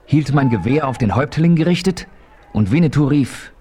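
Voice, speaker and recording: An actor narrating a radio play as a steady frontier hero, telling his story in a measured way. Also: a little high, slight background noise, close to an everyday voice.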